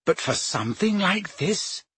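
A man voicing a brisk, clever teenage girl who is bossy and sensible, in an audiobook. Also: sits high, sing-song, fairly bright tone, breathy edge, voice pushed up, exaggerated voice. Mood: reasoning. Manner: brisk, reasoning.

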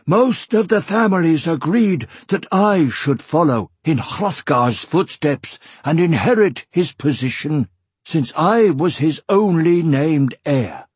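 Audiobook dwarf chief: gruff, husky, hearty, formal old-world phrasing, animated delivery. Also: male voice, fairly high pitch, slow pace, no room sound, pitched above the performer's own voice, full character voice. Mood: hearty, frank. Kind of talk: audiobook character voice.

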